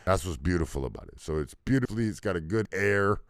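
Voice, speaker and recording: A man with a deep voice, talking loosely through a music mixing tutorial with fillers and casual swearing. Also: very animated, quick pace, gravelly, dry recording, clean studio sound. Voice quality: deep voice, muffled by a faulty mic input. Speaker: music producer teaching mixing. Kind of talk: casual screen-recorded tutorial. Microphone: desktop mic into recording software.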